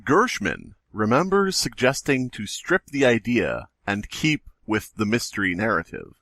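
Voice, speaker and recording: Man, lively swinging intonation, mid pitch, joking podcast co-host, casual read-aloud, dry recording. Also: unhurried, no echo.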